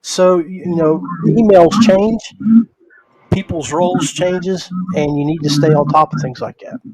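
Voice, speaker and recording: A man's voice: a little high, fairly even intonation, unhurried, a little mellow, slightly rough, rough recording. A man hosting a casual podcast discussion, talking in a conversational, anecdotal way. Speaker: podcast host.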